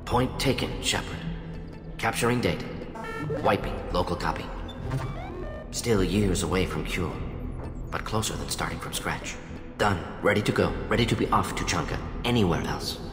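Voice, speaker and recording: A man's voice: sits low, animated, moderate pace, long pauses. A man playing an aging alien scientist and professor in a video game, speaking in clipped, telegraphic phrases that drop small words. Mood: pensive, clipped. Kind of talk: video game cutscene acting. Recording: echoey hall reverb under background music.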